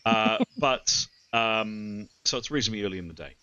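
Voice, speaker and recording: A man running a tabletop game as game master, thinking out loud and correcting himself as he goes. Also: on the low side, expressive, normal speed, clear, resonant tone, no room sound, highs cut off. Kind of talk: casual tabletop game narration and adjudication.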